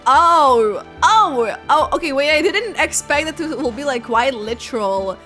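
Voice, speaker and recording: Woman, game streamer, casual reaction commentary, thinking aloud, highish voice, clear background music. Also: lively intonation. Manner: informal, halting, thinking aloud.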